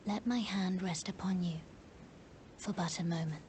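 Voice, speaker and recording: An actress voicing a gentle maiden guide in a fantasy game, soft and breathy, speaking in a hushed, formal way. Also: female voice, on the low side, steady tone, normal speed, a little hiss or hum, lightly acted. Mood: calm, hushed. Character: soft-spoken gentle maiden guide offering help to wanderers. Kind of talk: scripted fantasy game dialogue.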